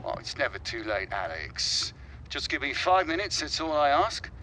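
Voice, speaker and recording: A man's voice: a little high, very animated, normal speed, sharp tone, slight background noise, low-quality recording. An actor playing a father who speaks encouragingly to his grown son. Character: encouraging father on a phone call.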